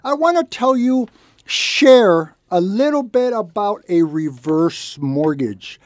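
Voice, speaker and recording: A man's voice: high voice, lively intonation, slow, no echo. A man hosting a radio talk segment as a loan officer, explaining things conversationally in short, repeated, emphatic phrases. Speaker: radio show host and reverse mortgage loan officer.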